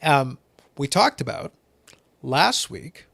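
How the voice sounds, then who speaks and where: A man hosting a casual hobby talk show, explaining in an animated way, often repeating a phrase as he starts. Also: mid-range voice, takes it slowly, vocal fry, dry recording. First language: not English. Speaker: podcast host.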